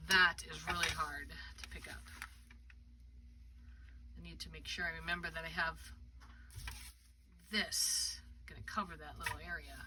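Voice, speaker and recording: A woman's voice: low-pitched, fairly even intonation, moderate pace, pauses often, sharp tone. Congested woman demonstrating crafts live. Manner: chatty, thinking aloud while working. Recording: distant mic with background noise.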